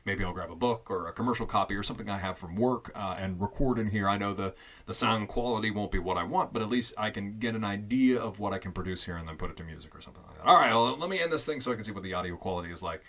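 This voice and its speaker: A young man talking casually and loudly into a fixed studio mic in a small foam-lined closet, rambling with fillers and amused asides. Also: lowish voice, very fast. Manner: loud, chatty and self-amused, with many fillers and restarts. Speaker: vlogger testing a home studio. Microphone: fixed studio condenser mic on a stand.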